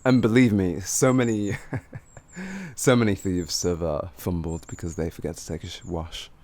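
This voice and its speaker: A man playing a cocky, flirtatious highwayman who teases and gloats in an improvised fantasy roleplay spoken to the listener. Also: normal speed, darkish tone, no echo, lightly acted. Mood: chatty, amused. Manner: teasing, gloating, chuckling, improvised hesitations.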